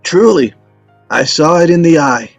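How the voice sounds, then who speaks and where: A man's voice: fairly high pitch, expressive, measured pace, creaky, no room sound, faint music behind, heavily acted. An actor in a script table read playing an ailing old father, a stubborn, folksy teller of tall tales who dodges with jokes. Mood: wry, assured. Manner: folksy, evasive, joking storyteller.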